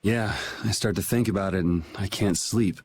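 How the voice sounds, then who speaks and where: A cool young man from a game, on the low side. He is blunt and self-assured, talking in a dry, casual way over faint background noise. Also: moderate pace, a little mellow. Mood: dry, resigned. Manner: dry, casual, matter-of-fact. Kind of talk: video game dub acting.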